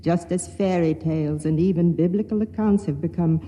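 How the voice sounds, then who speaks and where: A grave secret chronicler, read by a man in an old radio play, solemn and measured. The voice is very dark and mellow. A long reverb trails every phrase. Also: a little high, natural voice. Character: secret male chronicler, grave, reading his forbidden account. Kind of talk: 1950s radio drama acting. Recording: reverberant vintage radio recording.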